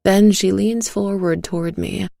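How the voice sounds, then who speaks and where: A woman narrating a dark scripted tale as a storyteller, in a deliberate, suspenseful way. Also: medium pitch, steady tone, creaky, no echo, studio quality, faint music behind.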